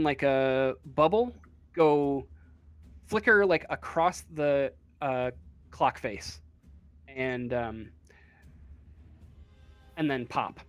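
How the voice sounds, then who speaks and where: A man acting as game master, improvising narration for a tabletop role-playing game in a halting way, thinking aloud. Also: a little high, no room sound.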